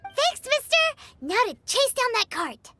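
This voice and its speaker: A woman voicing a small, cheeky, eager childlike fairy in a video game, with a squeaky voice. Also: sing-song, measured pace, sharp tone, slight background noise, heavily acted. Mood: curious, upbeat. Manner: refers to herself in third person, informal. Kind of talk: video game cutscene voice acting.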